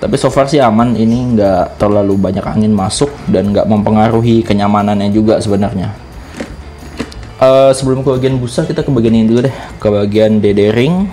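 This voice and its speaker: A man's voice: lowish voice, mellow. A man with a Jakarta Indonesian accent giving a casual product review, explaining things informally step by step as a vlogger.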